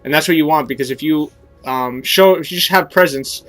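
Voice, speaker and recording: A man's voice: on the high side, brisk, a bit bright, faint music behind. A young man with a stuffy nose and sore throat from a cold, casually commentating his own game and coaching viewers with plenty of fillers. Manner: explanatory, coaching tone with many fillers. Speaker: gameplay commentator.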